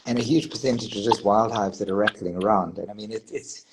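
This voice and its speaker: Low-voiced man rambling on a call. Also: steady tone, fast talker, background noise. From South Africa. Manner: rambling, with many restarts and "you know". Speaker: meadmaker guest. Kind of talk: casual online panel chat.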